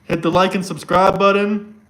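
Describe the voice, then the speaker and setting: Chatty young American man, slightly high voice. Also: level tone, normal speed, fairly bright tone, poor audio. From Florida, United States. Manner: loose, conversational, repeats himself. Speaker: livestream host. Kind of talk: casual livestream chat, reading viewer comments. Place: indoors in Montenegro.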